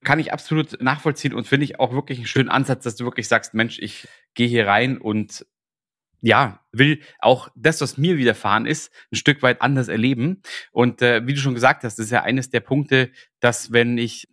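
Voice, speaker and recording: A man's voice: mid-range voice, a bit bright, no echo, clean studio sound. A man hosting a podcast interview, talking with enthusiasm and plenty of fillers and restarts. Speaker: podcast host.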